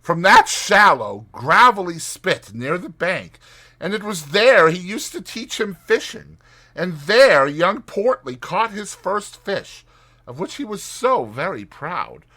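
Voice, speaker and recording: A man's voice: sits high, sing-song, takes it slowly, pushed and bright, voice pushed up, full character voice. A man voicing an earnest, worried male water rat in a dramatized storybook reading, speaking in a grave, emphatic way. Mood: grave, tender.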